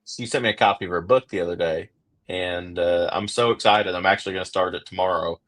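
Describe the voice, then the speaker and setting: A man's voice: deep voice, fast talker, fairly bright tone. A man with an Oklahoma accent hosting a podcast, chatting casually and rambling with many fillers and restarts. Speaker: podcast host. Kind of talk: casual interview chat.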